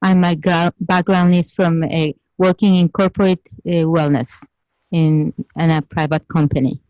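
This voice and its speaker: A medical doctor from Mexico introducing herself, a little hesitant with restarts. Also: female voice, fairly low pitch, steady tone, measured pace, mellow, rough recording.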